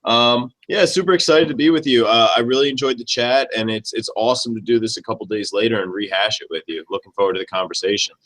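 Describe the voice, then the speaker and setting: A man's voice: medium pitch, fast talker, hardly any pauses, a bit bright. A man who teaches fifth grade, speaking from his classroom in a casual, eager way on a video call.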